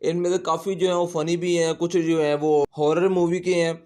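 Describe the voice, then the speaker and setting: Male tutorial host, a little higher in pitch, casual walk-through delivery with repetitive instructional phrasing. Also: level tone, moderate pace, a little mellow. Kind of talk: casual step-by-step app tutorial.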